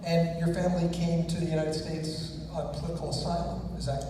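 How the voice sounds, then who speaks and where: A man's voice: a little high, flat delivery, fairly quick. A man moderating a convention Q&A panel, enthusiastic and addressing the audience. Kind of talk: convention Q&A interviewing. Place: large convention hall. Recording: echoey hall, distant mic, noisy.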